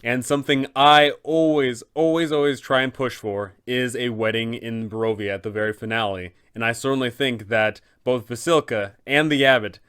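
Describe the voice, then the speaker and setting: A man's voice: mid-range voice, steady tone, normal speed, few pauses, fairly bright tone, clean tone. A man hosting a game master's guide, explaining in an enthusiastic, conversational way. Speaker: dungeon master and channel host.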